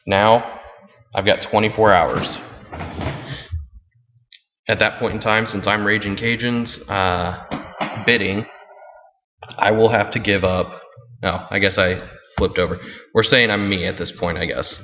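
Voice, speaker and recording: Guy with a deep voice doing an informal how-to walkthrough, really reverby room. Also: steady tone, measured pace, long pauses, slight background noise. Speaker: fantasy football league commissioner. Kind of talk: casual screen-share tutorial, explaining step by step. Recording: muffled, boomy room with long reverb.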